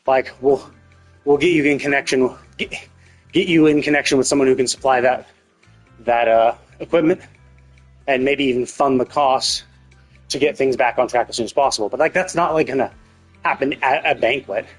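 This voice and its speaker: A man doing casual live game stream commentary, rambling and thinking out loud with casual swearing. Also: mid-range voice, normal speed. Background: faint game music.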